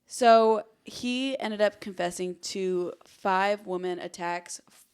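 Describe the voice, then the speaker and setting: A woman co-hosting a true crime podcast in casual chat, conversational and expressive in how she reacts to the stories. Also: mid-range voice, slow, clean tone.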